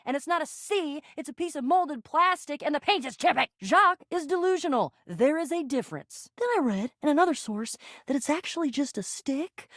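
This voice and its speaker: A woman's voice: sits high, dry recording, clean studio sound, lightly acted. An actress playing a scrappy, sarcastic young female adventurer in a video game, with a wisecracking, theatrical delivery. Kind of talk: video game character dialogue.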